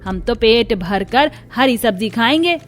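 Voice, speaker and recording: A woman's voice: fairly high pitch, expressive, unhurried. A woman voicing a stern, thrifty village mother-in-law in a cartoon, scolding and insistent, over music. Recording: music under the voice.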